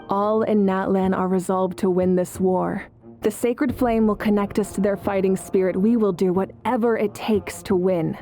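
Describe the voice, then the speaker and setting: An actress playing a resolute, composed woman war leader in a video game, speaking in a measured, assured and encouraging way. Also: mid-range voice, warm tone, lightly acted. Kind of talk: video game character acting. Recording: game music behind the voice.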